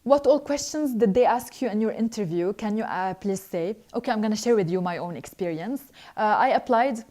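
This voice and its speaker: Young woman, alumna hosting a live Q&A. Mellow, relaxed voice, earnest, halting with fillers. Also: mid-range voice. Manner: earnest, hesitant, frequent filler pauses. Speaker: scholarship alumna answering viewer questions. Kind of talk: live online Q&A, reading questions then answering.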